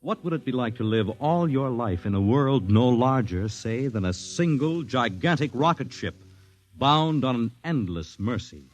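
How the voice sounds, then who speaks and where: A man's voice: medium pitch, lively intonation, normal speed. A man introducing a 1950s radio drama in a dramatic, declamatory announcing style. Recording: 1950s radio broadcast with faint music.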